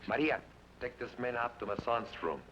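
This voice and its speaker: An actor playing a calm, fatherly older Mexican innkeeper who speaks slow and measured, in 1950s radio style. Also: mid-range voice, close to an everyday voice. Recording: dull old radio broadcast with hiss.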